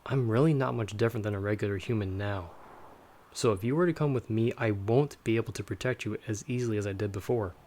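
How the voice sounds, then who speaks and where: Low-voiced, dry vampire hunter played by a man. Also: darkish tone, slight background noise, close to an everyday voice. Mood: stern, warning. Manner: dry, wry, measured delivery. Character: dry, guarded male vampire monster hunter, protective companion. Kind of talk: ASMR audio roleplay, scripted monologue to listener.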